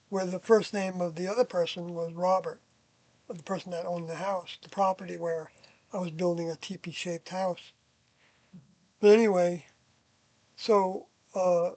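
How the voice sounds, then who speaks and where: An older person reminiscing casually about past experiences, hesitant and pausing often while searching their memory. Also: mid-range voice, darkish tone, no echo, rough recording. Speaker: interviewee, former member of a group recalling past experiences.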